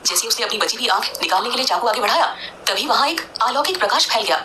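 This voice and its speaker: A woman tells a scripted devotional tale in a high voice that rises and falls freely. She moves along briskly, with music playing underneath. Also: animated, very noisy. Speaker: narrator. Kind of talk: scripted devotional story narration.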